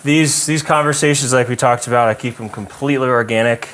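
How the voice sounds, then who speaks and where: A man's voice: medium pitch, fairly even intonation, brisk, fairly bright tone, slight background noise. A man hosting a casual interview, talking in a friendly, conversational way. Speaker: podcast host.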